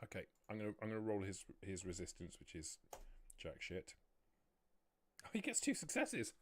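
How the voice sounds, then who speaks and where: A man's voice: low voice, wildly swooping pitch, moderate pace, a little hiss or hum. A man running a tabletop roleplaying game, casually narrating and talking through rules as game master.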